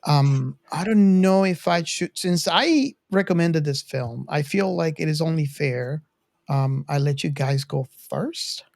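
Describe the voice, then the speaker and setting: A podcast host talking casually about film, with a halting, hesitant way of speaking. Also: medium pitch, creaky, a little mellow, no echo.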